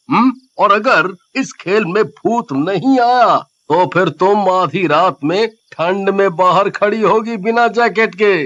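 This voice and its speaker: A man playing a cocky, mocking young man in a Hindi audio story, with drawn-out, exaggerated, taunting delivery. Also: high-pitched, a bit bright, muffled recording, exaggerated voice.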